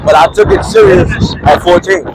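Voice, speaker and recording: A man's voice: high-pitched, moderate pace, very noisy. A man in his twenties, a calisthenics athlete, talking earnestly and casually about motivation in a street interview. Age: 20s. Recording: outdoor crowd chatter.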